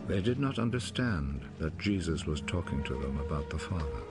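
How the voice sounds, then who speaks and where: A man narrating a biblical film in a solemn, measured, scripted way. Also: rumbling low, big pitch swings, over loud music.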